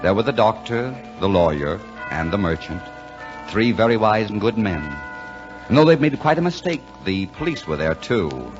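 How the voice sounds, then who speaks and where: A man playing a brash, warm-hearted truck driver in a colloquial, folksy 1940s radio drama style. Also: on the low side, very animated, normal speed. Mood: reflective, storytelling. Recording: dull vintage 1940s radio broadcast, music.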